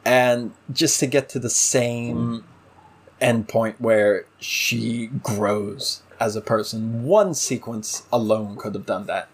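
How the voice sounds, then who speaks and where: A man's voice: mid-range voice, fairly even intonation, slow, breathy edge. A man co-hosting a casual discussion show, giving opinionated, exasperated critique in a conversational way. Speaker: podcast co-host.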